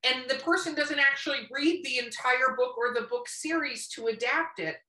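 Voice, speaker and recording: A woman's voice: high voice, normal speed, bright, forceful tone. A woman co-hosting a podcast in casual chat, speaking bluntly and emphatically and swearing freely. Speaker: podcast co-host. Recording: roomy echo, distant from mic.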